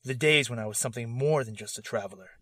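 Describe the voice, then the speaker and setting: A man's voice: medium pitch, fast, bright, forceful tone, slightly rough, dry recording. A man playing a jaded, self-doubting male werewolf knight turned drifter in a fantasy audio drama, with a weary, brooding manner. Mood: brooding, reflective.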